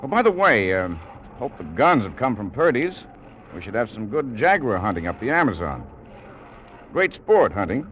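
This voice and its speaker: Gentleman yachtsman, thoughtful and hesitant, lowish animated voice, vintage radio drama with dulled sound. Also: moderate pace. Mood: genial. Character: thoughtful, uneasy gentleman yachtsman and sport hunter. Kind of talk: 1940s radio acting. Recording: dull, noisy old 1940s radio recording.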